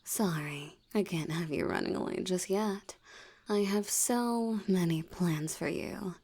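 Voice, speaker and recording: A woman's voice: on the low side, unhurried, vocal fry, a little mellow, husky, exaggerated voice. A woman voicing a seductive, teasing female vampire in an ASMR audio roleplay, often dropping into a whisper.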